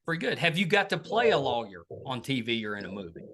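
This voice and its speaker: A man's voice: medium pitch, very animated, fast, a bit bright. A man hosting a podcast interview, upbeat and agreeable, with many short affirmations. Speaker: podcast host. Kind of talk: casual interview.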